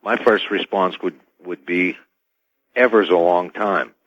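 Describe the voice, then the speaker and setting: A man answering interview questions as an expert guest on radio, measured and guarded in his wording. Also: low-pitched, fairly even intonation, no room sound. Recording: dull band-limited radio sound.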